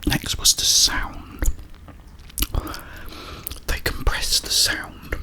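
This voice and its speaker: A man speaks entirely in a breathy, unvoiced whisper. He takes his time, rambling in ASMR style with halting phrases and long pauses between thoughts. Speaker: ASMR creator. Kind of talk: whispered ASMR ramble about video games.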